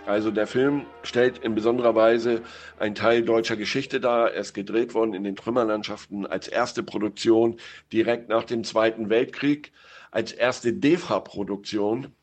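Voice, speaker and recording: A man speaking as a guest film critic on the radio, explaining in a measured way. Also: on the low side.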